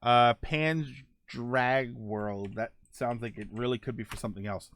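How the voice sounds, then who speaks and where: A man's voice: medium pitch, steady tone, unhurried, a bit bright, a little hiss or hum. A man hosting a casual podcast, reading viewer topics and tweets aloud with many ums and restarts. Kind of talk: casual solo podcast chat.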